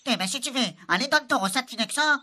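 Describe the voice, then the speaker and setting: A woman's voice: high-pitched, lively intonation, fast, pushed and bright, full character voice. A woman playing a brash, impatient islander with a Réunion Creole accent, blunt and bossy, in a comic radio serial.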